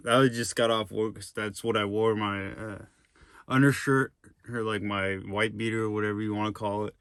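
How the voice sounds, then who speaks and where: Low, flat-voiced young man rambling casually. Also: normal speed, long pauses, clean tone, dry recording, studio quality. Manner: rambling, with many fillers and tangents. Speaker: vlogger. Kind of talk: casual vlog monologue.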